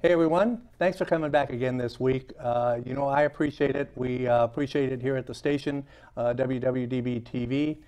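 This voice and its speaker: A man hosting a casual interview show, eager and chatty, often pausing with fillers and starting sentences again. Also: mid-range voice, fairly even intonation, darkish tone, faint music behind. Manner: halting, many fillers and restarts; enthusiastic, chuckles often. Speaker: talk show host.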